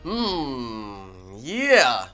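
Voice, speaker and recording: A man's voice: medium pitch, wildly swooping pitch, very slow, sharp tone, soft background music. A man giving casual, slangy reaction commentary as a video host, exclaiming with emphasis.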